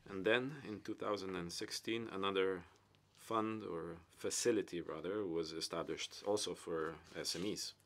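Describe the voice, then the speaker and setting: A man giving simultaneous English interpretation at a conference panel, with frequent hesitant pauses and fillers. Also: deep voice, steady tone, a little hiss or hum.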